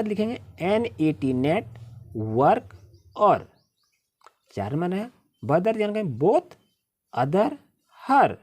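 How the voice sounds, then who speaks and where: An Indian-accented woman teaching young children, explaining textbook exercises in an instructive way and reading out English slowly, word by word. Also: lowish voice, darkish tone. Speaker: primary school teacher.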